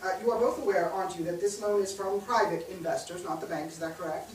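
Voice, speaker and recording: A man's voice: high-pitched, normal speed, lightly acted. A man playing a formal, cautious, experienced banker in a staged play reading, with a hesitant manner. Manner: formal, hesitant with many uhs. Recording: echoey stage, distant mic, background hiss.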